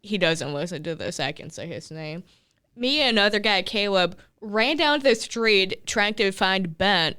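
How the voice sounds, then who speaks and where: Young woman, twenty, podcast co-host, casual storyteller, slow talker, bright voice. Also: medium pitch, animated, clear, resonant tone. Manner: unhurried, chatty storyteller with many fillers.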